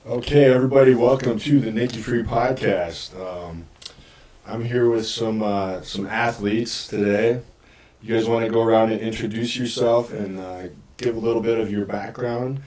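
Casual low-voiced podcast host in an echoey room. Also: male voice, normal speed, far from the mic. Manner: relaxed, slangy, affirming. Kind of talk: casual interview. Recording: echoey room, voice a bit off-mic.

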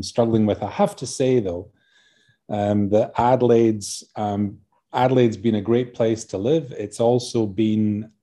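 Give me a middle-aged Scottish man with a deep, dark voice, answering interview questions haltingly. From Scotland. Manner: hesitant, many ums and restarts. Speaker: university vice-chancellor, guest.

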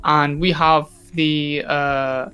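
A young man making a casual, unscripted podcast announcement as co-host, a little halting. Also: a little high, little pitch movement, bright, forceful tone, smooth, soft background music.

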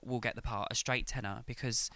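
A man's voice: medium pitch, steady tone, a little fast, pushed and bright, dry recording. A man with a light, feminine-sounding voice, chatting camply as a podcast guest, with lots of fillers. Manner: chatty and camp, with frequent fillers and bursts of laughter. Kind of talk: casual chat. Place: restaurant.

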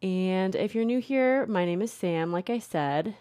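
A woman's voice: medium pitch, measured pace, mellow, clean tone, no echo, studio quality. A young woman hosting her own podcast, talking casually and a bit self-deprecatingly, with lots of fillers and restarts. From Connecticut. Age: young adult. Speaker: podcast host, therapist. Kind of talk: casual solo podcast talk.

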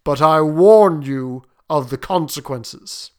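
A weathered middle-aged soldier, played by a male storybook reader. He is grave and gruff, sounding like a man giving a warning. His pitch is on the high side and moves expressively. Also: lively intonation, fairly bright tone. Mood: stern warning. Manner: solemn, slow, gruff. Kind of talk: dramatic storybook reading.

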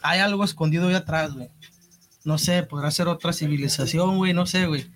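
A man's voice: fairly high pitch, fairly quick, fairly bright tone. A man with a Mexican accent chatting casually and slangily as a podcast co-host, dropping in lots of filler words.

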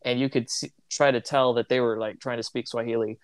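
Man raised in Tanzania, missionary; reflective, self-correcting delivery, average pitch, dry close recording. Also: steady tone, a little fast, smooth, no room sound. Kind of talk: casual conversation.

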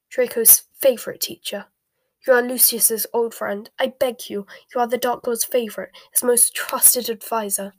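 A woman's voice: fairly high pitch, darkish tone, no room sound, low-quality recording. A woman voicing a distraught, tearful mother who pleads desperately in an audiobook story. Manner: pleading, breathy gasps and sobs.

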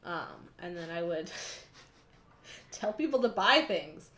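A woman's voice: fairly low pitch, lively intonation, slow, sharp tone, reverberant. A young woman in her twenties, chatting casually and self-mockingly as a podcast co-host, with many fillers. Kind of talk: casual chat.

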